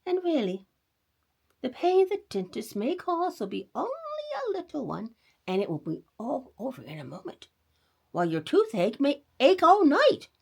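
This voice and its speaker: A woman's voice: high voice, very animated, moderate pace, creaky, a little mellow, breathy edge, voice pushed up, heavily acted. A woman voicing a patient storybook mother who reasons with her young boy in a reassuring, persuasive way.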